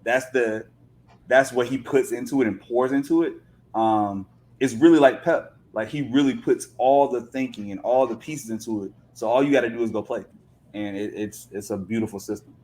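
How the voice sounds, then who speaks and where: A man's voice: medium pitch, normal speed, darkish tone. A man chatting casually as a podcast guest, talkative and explaining things at length.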